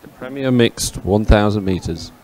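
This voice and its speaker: Low-voiced man commentating over music. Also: normal speed. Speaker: sports commentator. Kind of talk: live race commentary and chat. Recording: music behind the voice.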